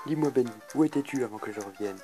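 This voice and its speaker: An amateur voice actor plays a worried cartoon wolf in a comic dub. The voice is mid-pitched, questioning and reproachful, with faint music behind. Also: fast, warm tone, lightly acted. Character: worried cartoon wolf interrogating his guilty friend.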